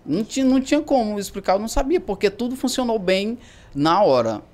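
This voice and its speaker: A man's voice: sits high, sing-song, quick pace. A man on a podcast team, chatting casually and joking about his own mistakes. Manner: self-mocking, playful. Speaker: podcast team member, editor.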